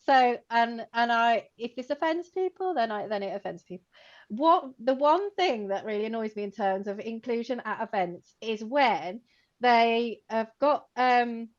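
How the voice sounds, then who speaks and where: A chatty woman with a fairly high voice talks through an informal conversation. She is animated and full of fillers, and she laughs as she makes her points. The audio is a little dull and lo-fi. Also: measured pace. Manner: chatty, animated, many fillers like "like" and "you know", laughs while talking. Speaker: co-host, co-owner of a computing education company.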